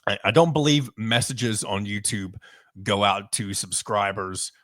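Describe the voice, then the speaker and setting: A man's voice: fairly low pitch, unhurried, fairly bright tone, no room sound, studio quality. A man hosting a sports podcast, talking casually with frequent ums and restarts.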